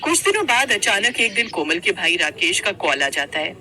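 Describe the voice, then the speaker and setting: Animated, very bright female storyteller. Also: medium pitch, normal speed. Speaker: narrator. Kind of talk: scripted storytelling narration.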